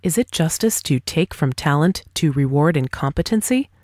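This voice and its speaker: A woman's voice: low voice, animated, moderate pace, a little mellow, no room sound, studio quality. A woman reading a scripted dialogue aloud as for an audiobook, in a formal, argumentative manner. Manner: formal, argumentative, rhetorical questioning. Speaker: audiobook reader.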